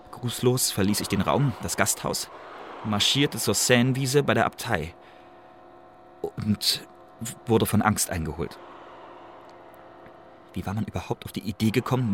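An actor playing an uneasy secret agent in a radio play, giving formal, clipped reports with agitated edges. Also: male voice, fairly low pitch, moderate pace, long pauses, fairly bright tone, a little hiss or hum, natural voice. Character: male secret agent Leander reporting anxiously to his superiors.

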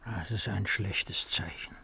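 A solemn, aging Roman emperor. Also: male voice, low voice, fairly even intonation, slow pace, vocal fry, bright, forceful tone, slight background noise, full character voice. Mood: troubled, foreboding. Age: older. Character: aging Roman emperor, brooding, anxious, nostalgic. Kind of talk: 1950s German radio drama acting.

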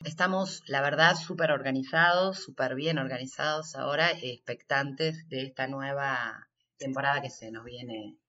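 A woman's voice: rumbling low, moderate pace, few pauses, sharp tone, lo-fi sound. A woman with an Argentine accent answering interview questions as a workshop coordinator, explaining things step by step.

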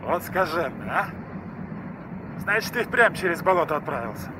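An actor playing a folksy, grumbling, plain-spoken riverboat engine hand in a Soviet radio play. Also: male voice, a little high, very animated, moderate pace. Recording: noisy old mono radio play recording.

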